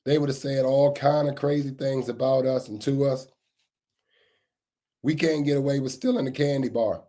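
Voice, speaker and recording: A man hosting a casual live stream, his voice a bit raspy as he gets over a cold, greeting chat viewers by name and repeating points for emphasis. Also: medium pitch, steady tone, normal speed, warm tone. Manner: repetitive, emphatic phrasing. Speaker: livestream host on security news.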